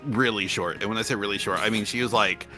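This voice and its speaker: A man's voice: fairly low pitch, fast talker, bright, forceful tone. A man from rural Oklahoma, a guest on a stream, telling casual anecdotes with lots of stammers and restarted sentences. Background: faint background music.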